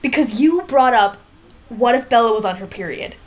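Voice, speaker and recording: A woman's voice: fairly high pitch, expressive, normal speed. A young woman co-hosting a podcast, chatting casually and irreverently with slang and free swearing. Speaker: podcast co-host.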